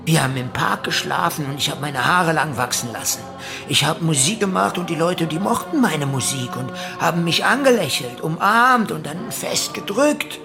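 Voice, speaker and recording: An actor playing a drifting, self-absorbed ex-convict musician and cult leader, reminiscing in a radio play. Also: male voice, on the high side, expressive, moderate pace, vocal fry. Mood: wistful, blissful. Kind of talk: German radio play monologue. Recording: music behind the voice.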